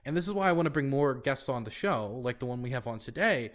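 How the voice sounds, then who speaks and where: American male host type, loose rambling delivery, mid pitch, keeps circling back with "like". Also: animated, quick pace. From United States. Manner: rambling, many fillers and restarts. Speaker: podcast host. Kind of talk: casual podcast chat.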